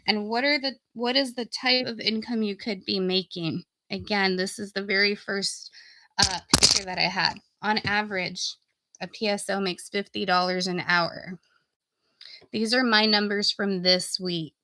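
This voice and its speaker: Bright-voiced woman, slightly under the weather. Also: mid-range voice, no room sound, rough recording. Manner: informal, folksy, addressing viewers directly. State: getting sick, losing her voice. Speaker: online coach and live stream host. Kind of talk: casual live stream chat and sales pitch.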